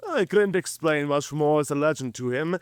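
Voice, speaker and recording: A man's voice: a little high, expressive, moderate pace, smooth, no echo, clean studio sound, lightly acted. A man playing a cunning, proud werewolf in an audio drama, with a theatrical, drawling and mocking delivery. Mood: mocking amusement. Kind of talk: cinematic audio drama acting.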